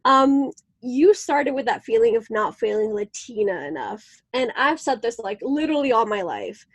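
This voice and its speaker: A woman's voice: on the high side, animated, normal speed. A young woman from Venezuela co-hosting a podcast, chatting in a rambling, giggly way. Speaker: podcast co-host. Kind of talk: casual interview chat.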